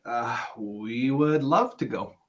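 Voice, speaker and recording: A man's voice: medium pitch, takes it slowly, highs cut off, lightly acted. A man playing an affable, self-satisfied businessman and boyfriend in a dramatic play reading. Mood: placating.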